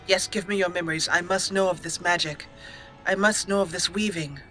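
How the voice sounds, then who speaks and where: A power-hungry young elf mage woman, played by a woman, eager and demanding for forbidden knowledge. Her voice is bright and keen. She speaks like a character in a fantasy roleplay game. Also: on the low side, steady tone, a little fast, soft background music.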